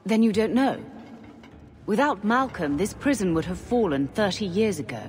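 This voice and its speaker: A woman's voice: mid-range voice, lively intonation, normal speed, darkish tone, natural voice. An actress playing a formal, assured and zealous woman mage who leads a warden order in a fantasy game. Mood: grave, persuasive. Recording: long hall reverb, faint ambient noise.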